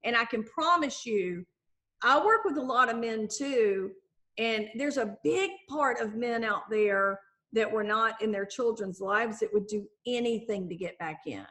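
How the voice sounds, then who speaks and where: A middle-aged woman author talking in a conversational interview, lively and acting out the voices of the people she quotes. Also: a little high, unhurried. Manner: animated, acts out quoted voices. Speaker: author and guest.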